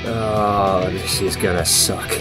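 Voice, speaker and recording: A man's voice: deep voice, fairly even intonation, slow pace, music in the background. A man playing a cocky, wry teenage demon fighter in an audio drama, casual and sardonic.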